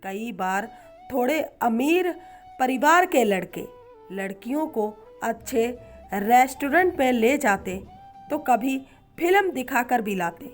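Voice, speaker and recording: Slow, high-pitched woman narrator. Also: lively intonation, faint music behind. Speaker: story narrator. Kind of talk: scripted narration of a moral story.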